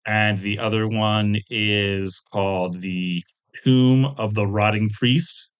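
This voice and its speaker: A middle-aged man chatting casually as an interview guest, talking in a rambling, halting way and often correcting himself. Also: lowish voice, fairly even intonation, smooth. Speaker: podcast guest, tabletop game designer.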